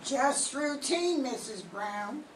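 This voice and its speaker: Older woman playing a slow, high-voiced police sergeant. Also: animated. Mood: businesslike. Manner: deliberate, businesslike questioning. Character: police homicide sergeant, calm, methodical, probing. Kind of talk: 1940s radio mystery acting, read from script. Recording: roomy, distant mic, faint noise.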